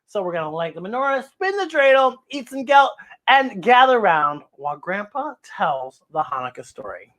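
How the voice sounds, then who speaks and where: Animated woman hosting children's story time. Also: medium pitch, normal speed. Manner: exclamatory, gushing, repeats phrases. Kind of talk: read-aloud storytelling for children.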